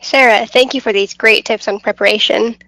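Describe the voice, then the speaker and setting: A woman's voice: fairly high pitch, fairly even intonation, fairly quick, pushed and bright. Woman, webinar host, moderating, question-and-prompt delivery, dry echo-free sound.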